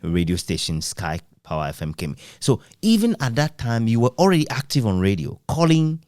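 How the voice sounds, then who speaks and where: A man conducting a reflective interview, phrasing his questions in a measured, deliberate way. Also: mid-range voice, sing-song, darkish tone, no echo, studio quality. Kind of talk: reflective radio-history interview.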